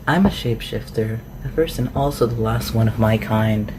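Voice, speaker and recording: An awkward, inquisitive shapeshifter living as a forest recluse, acted out by a performer for a fantasy roleplay ASMR. The voice sits at a medium pitch and rambles through hesitant questions. Noticeable noise runs under the recording. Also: moderate pace, close to an everyday voice. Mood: guarded. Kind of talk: roleplay ASMR audio acting. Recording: clearly noisy home recording.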